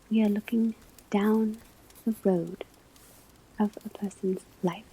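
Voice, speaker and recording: A woman performing an ASMR classroom roleplay as a calm, formal witch school teacher, speaking softly and often dropping into a whisper. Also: medium pitch, slight background noise, low-quality recording. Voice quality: soft, breathy, often whispered. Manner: measured, formal, precise phrasing. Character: calm, kindly but strict witch school divination teacher.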